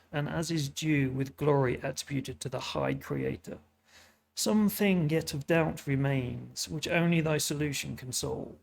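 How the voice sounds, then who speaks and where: A British man with a sniffly, hoarse voice, reading the part of Adam, an earnest and wondering first man, in solemn questioning verse. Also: a little high.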